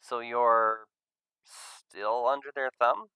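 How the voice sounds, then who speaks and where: A man voicing an awkward, guilt-ridden young male furry in a visual novel, speaking in a hesitant, halting way. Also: mid-range voice, fairly even intonation, pushed and bright, smooth, no room sound. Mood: hesitant, careful.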